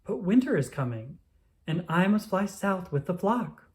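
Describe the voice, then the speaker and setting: A storyteller voicing a small, curious bird friend in a children's storybook, with expressive, questioning delivery. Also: medium pitch, normal speed, voice pushed up, natural voice. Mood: matter-of-fact. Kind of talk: children's storybook read-aloud.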